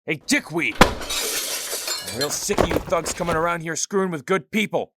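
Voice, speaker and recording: A man's voice: fairly high pitch, expressive, normal speed, heavy background noise, voice pushed up, natural voice. Man doing a hot-headed young vigilante. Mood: angry. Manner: angry, defiant, swearing.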